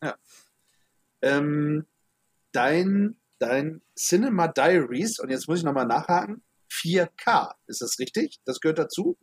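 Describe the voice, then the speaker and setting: A chatty male podcast host with a slightly higher, husky voice. He talks loosely, with many fillers and restarts, and sounds close and dry. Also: animated, a little slow, dry recording. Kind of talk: casual chat.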